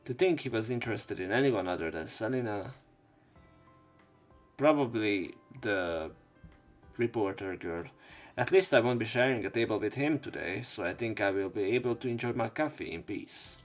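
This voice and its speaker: Low-voiced man narrating a game. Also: lively intonation, normal speed. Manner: casual, joking asides and crude swearing. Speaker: let's play narrator. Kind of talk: reading game text aloud with commentary. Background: faint game music.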